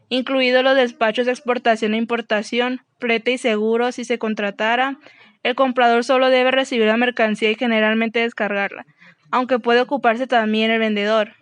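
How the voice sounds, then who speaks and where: Woman doing scripted explainer, very clean smooth voice, dry close sound. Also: on the high side, no echo. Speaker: presenter.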